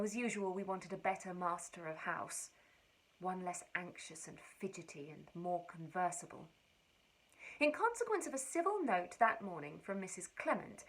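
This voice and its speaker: A woman giving an expressive, wry and precise reading of a period letter. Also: lowish voice, low-quality recording. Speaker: reader.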